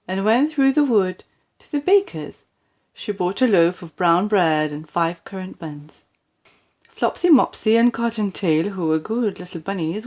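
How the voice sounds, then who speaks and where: A woman with a British accent narrating a children's storybook expressively, with deliberate dramatic emphasis on key words. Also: medium pitch, a little mellow. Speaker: storybook reader.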